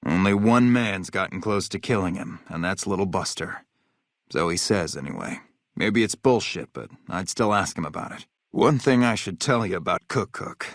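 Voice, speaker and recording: An actor playing a hard-bitten, vengeful military officer in a video game, blunt, profane and matter-of-fact. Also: male voice, low-pitched, lively intonation, moderate pace, a bit bright, no echo, clean studio sound. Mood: grim, warning. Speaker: game character, army officer.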